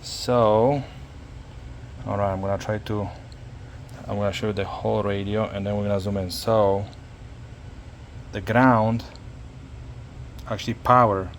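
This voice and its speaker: A man narrating a casual hands-on repair tutorial, speaking haltingly and repeating words as he works. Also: fairly low pitch, slight background noise. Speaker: hobbyist demonstrating a radio repair.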